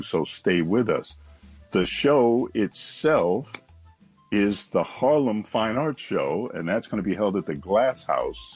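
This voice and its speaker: A man hosting a morning radio show and reading announcements in a steady announcer's manner. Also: low voice, husky. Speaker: radio host. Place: radio studio.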